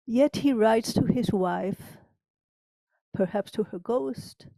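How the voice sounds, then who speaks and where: Slow, mellow woman poet from Mexico. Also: fairly high pitch, creaky, no room sound, rough recording. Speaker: poet reading own work. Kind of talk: introducing and reciting own poem.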